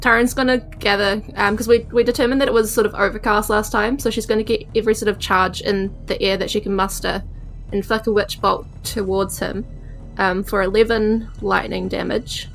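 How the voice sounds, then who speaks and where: A woman's voice: medium pitch, fairly even intonation, moderate pace, gravelly, faint music behind. A woman with a wry manner, chatting casually and describing her moves as a player in a tabletop game. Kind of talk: casual chat with third-person action narration.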